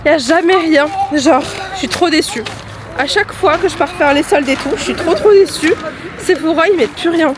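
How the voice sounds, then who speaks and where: A woman's voice: very high-pitched, normal speed, a crowd in the background. A young woman with a head cold chatting casually to her phone camera for a vlog, upbeat and full of slang. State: congested with a cold. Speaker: vlogger. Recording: music playing under the voice.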